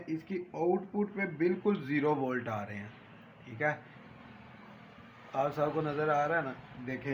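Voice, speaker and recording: A man giving an informal hands-on repair tutorial, speaking straight to viewers and repeating his points. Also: a little high. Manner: addresses viewers directly, repeats points and checks for understanding. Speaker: electronics repair tutorial host. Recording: echoey room, background noise.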